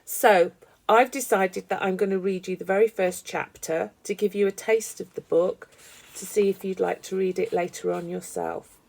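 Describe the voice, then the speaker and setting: A woman reading a children's story aloud with animated, dramatic emphasis. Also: medium pitch, moderate pace, gravelly, rough recording. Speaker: storybook reader.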